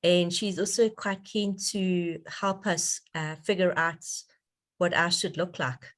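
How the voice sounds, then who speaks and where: A woman's voice: fairly low pitch, steady tone, slow. A woman hosting a donor conference call, talking casually in an effusive, enthusiastic and appreciative way over an online connection.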